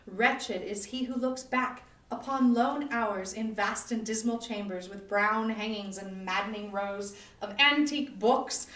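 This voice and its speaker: A woman with a slightly high voice reads gothic horror prose aloud. She is deliberate and melodramatic in her emphasis, and she sounds a little far from the mic in an echoey room. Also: a bit bright. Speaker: volunteer or library staff reader.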